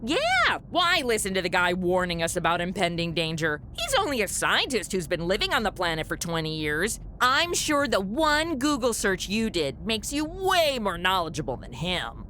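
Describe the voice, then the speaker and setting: Bright, sarcastic young woman. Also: medium pitch, wildly swooping pitch, moderate pace, hardly any pauses, slight background noise. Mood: mocking. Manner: sarcastic, flippant, modern slang. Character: sassy modern young woman influencer, spaceship cook. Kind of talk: comedic radio-play acting.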